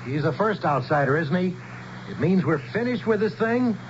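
A man's voice: high voice, lively intonation, moderate pace. An actor playing an eager, hopeful townsman in a 1970s radio drama. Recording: muffled, faintly noisy old radio broadcast.

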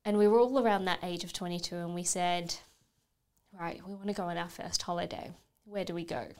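A woman's voice: on the low side, fairly even intonation, brisk, darkish tone. A woman in her thirties with a British accent, talking candidly and reflectively about her own life as an interview guest. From United Kingdom. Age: 30s. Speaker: podcast guest. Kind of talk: reflective personal conversation.

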